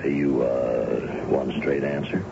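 An actor playing a wry, cautious middle-aged father who talks in a dry, hesitant, measured way in a 1970s radio drama. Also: rumbling low, flat delivery, vocal fry, heavily acted. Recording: noisy, dull old radio broadcast recording.

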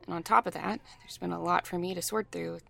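Very creaky, reassuring woman. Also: fairly low pitch, fairly even intonation, fairly quick, a bit bright, exaggerated voice. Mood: tender, relieved. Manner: casual, reassuring, apologetic. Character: reassuring, resilient mother reunited with her partner. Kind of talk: horror audio drama acting.

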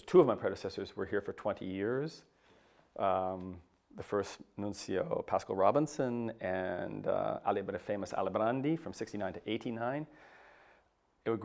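A middle-aged man speaks in a lowish voice. He answers an interviewer slowly and reflectively, stumbling into frequent restarts and fillers. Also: vocal fry. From United States. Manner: hesitant, many restarts and fillers. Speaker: papal nuncio, interview guest.

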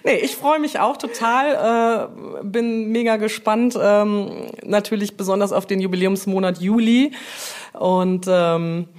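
A woman chatting informally as an interview guest, often pausing to find her words mid-sentence. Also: mid-range voice, steady tone, darkish tone. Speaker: brand manager, podcast guest. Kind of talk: casual interview chat.

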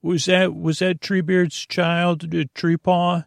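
A drowsy, dithering listener trying to remember something, unsure and trailing off mid-guess, as a man puts the voice on. The voice sits a few steps higher than his own, with a gravelly crackle underneath. It is close and dry, with no room sound. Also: little pitch movement, takes it slowly, no room sound, full character voice. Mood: puzzled. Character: puzzled half-asleep listener of either sex, dithering.

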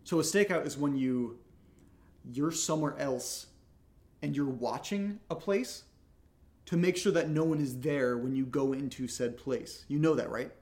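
A man's voice: measured pace, darkish tone, close to an everyday voice. A man playing an exasperated, skeptical young American straight man in a comedic audio drama, speaking with casual, dry sarcasm. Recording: noisy low-level home recording.

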